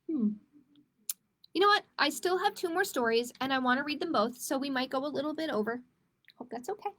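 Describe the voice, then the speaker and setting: A woman's voice: high voice, a bit bright. A woman hosting a library storytime, reading picture books aloud to children and explaining simply and encouragingly.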